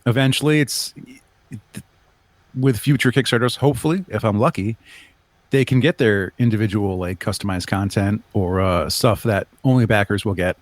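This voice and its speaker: A man with a fairly low voice speaks as an interview guest in casual conversation. He explains his work earnestly, and the sound is dry and close, with little room to it. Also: normal speed, dry recording. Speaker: guest, audio drama creator.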